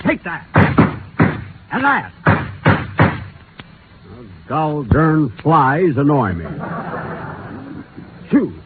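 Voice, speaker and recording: A man's voice: on the high side, huge pitch swings, drawn-out words, pauses often, pitched below the performer's own voice, exaggerated voice. A man playing a mean, folksy gambling-house boss of the Old West in a 1940s radio comedy sketch. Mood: mock tough. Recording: tinny, noisy 1940s radio broadcast.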